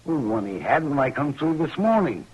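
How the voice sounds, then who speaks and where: An actor playing a folksy, talkative peddler in a 1950s western radio drama. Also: male voice, a little high, very animated, moderate pace, a little mellow, lo-fi sound. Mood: folksy, chatty. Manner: folksy, rustic phrasing. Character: folksy, talkative male peddler in the Old West. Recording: noisy old radio recording.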